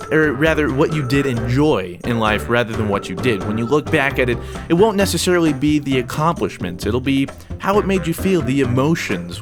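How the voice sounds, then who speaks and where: A man hosting a casual vlog, upbeat and energetic as he reads viewer comments. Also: medium pitch. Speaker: YouTube gaming channel host.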